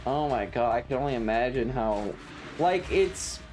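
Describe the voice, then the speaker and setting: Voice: medium pitch, animated, normal speed, a little mellow, faint music behind, poor audio. A streamer chatting casually while gaming, with foul-mouthed slang and playful exclamations. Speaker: variety streamer. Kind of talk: casual gaming livestream chat.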